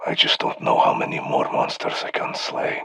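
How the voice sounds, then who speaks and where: A man's voice: normal speed, a bit bright, a little hiss or hum, natural voice. A man playing a world-weary, wry former special forces soldier in a video game. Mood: weary, brooding. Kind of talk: scripted game voice lines.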